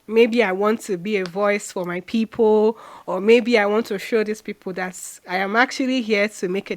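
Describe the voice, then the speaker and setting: Brief: woman interviewer, mid-pitched voice, long searching questions. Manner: searching phrasing, rephrases questions. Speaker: podcast host.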